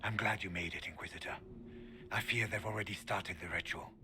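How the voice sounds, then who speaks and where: An actor playing a grave, loyal warrior burdened by secrets, speaking in a solemn, measured way in a fantasy game. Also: male voice, on the low side, fairly even intonation, background noise, close to an everyday voice. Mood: worried. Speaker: warden ally. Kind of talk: dramatic game dialogue.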